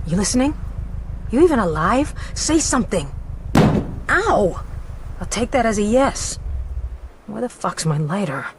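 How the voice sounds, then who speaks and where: A woman's voice: mid-range voice, big pitch swings, measured pace, long pauses, noisy background. An actress playing a tough, defiant young gangster woman in a video game, blunt and combative. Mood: urgent. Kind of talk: video game cutscene acting.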